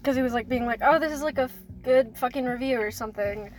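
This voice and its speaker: Loud, swearing young woman. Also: on the high side, quick pace. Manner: loud, swears a lot, rambling. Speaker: vlog co-host. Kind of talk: casual car chat. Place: car. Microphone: phone set down in car.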